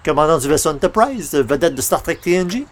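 A man's voice: fairly high pitch, lively intonation, brisk, natural voice. A man acts out a good-natured small-town columnist in an old-time radio comedy. His Canadian French is colloquial, hesitant and exclamatory, with a touch of frustration. Faint noise sits behind the voice. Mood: insistent, hopeful.

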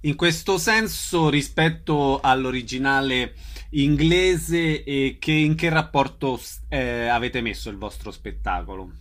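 A man speaks at an average pitch as an interviewer. His questions are measured and formally worded. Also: a bit bright.

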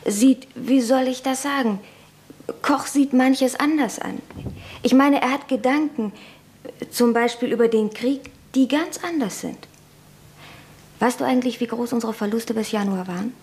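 An actress playing an earnest young nurse in wartime who confides secrets and slips into urgent whispers, in a German radio drama. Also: female voice, a little high, a little slow, a little mellow, a little hiss or hum, lightly acted. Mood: confiding. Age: young adult.

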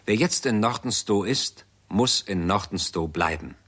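A man giving sober, measured scripted narration for a 1960s German radio drama. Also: fairly low pitch, lively intonation, fairly bright tone, slightly rough.

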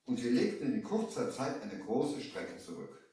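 A man's voice: mid-range voice, big pitch swings, moderate pace, warm tone, recorded from a distance, close to an everyday voice. An actor playing an earnest merchant from Baghdad who narrates his adventure in a dramatic vintage audio play. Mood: grave narration. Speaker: narrator and lead character. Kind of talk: scripted storytelling, 1970 audio drama. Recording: echoey vintage LP, off the mic.

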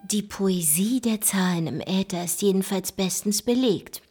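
An actress playing an analytical investigator in a radio play, speaking in a deliberate, explanatory, literate way. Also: female voice, mid-range voice, a little mellow, breathy edge. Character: analytical woman investigator puzzling out a secret code. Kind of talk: German radio play dialogue.

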